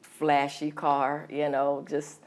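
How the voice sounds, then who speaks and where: A middle-aged woman from California telling her life story as an interview guest, hesitant with many ums and soft laughs. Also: low-pitched, monotone, takes it slowly, slight background noise. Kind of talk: candid personal storytelling.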